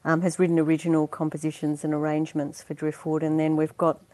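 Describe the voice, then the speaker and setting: Low, mellow-voiced woman. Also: monotone, quick pace, dry recording. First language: Dutch. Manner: chatty and earnest, laughs easily, many fillers. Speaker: interview guest, performer. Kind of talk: conversational interview.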